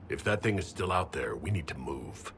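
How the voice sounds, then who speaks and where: A weary male hero of a fantasy game, full of doubt. His low voice has a tense, bright edge. He talks gravely and earnestly over faint background noise. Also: expressive, moderate pace. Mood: weary, troubled. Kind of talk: dramatic game dialogue.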